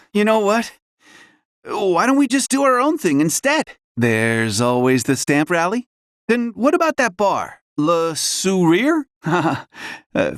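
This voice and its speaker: A man's voice: a little high, dry recording, studio quality. An actor playing a hesitant, easygoing male tourist in a game, speaking casually.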